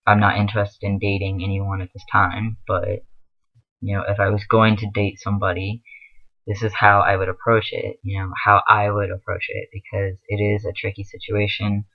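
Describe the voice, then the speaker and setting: A man's voice: on the low side, completely flat delivery, moderate pace, muffled recording. A young man giving earnest, rambling advice in a casual vlog, with many fillers. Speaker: vlogger.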